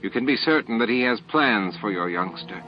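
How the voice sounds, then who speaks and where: A man's voice: on the low side, animated, moderate pace, lightly acted. A man voicing a fierce, snappish elderly female alien scientist in an audiobook drama. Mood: indignant, scornful. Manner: snappish, forceful, righteous. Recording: dull lo-fi audio with music.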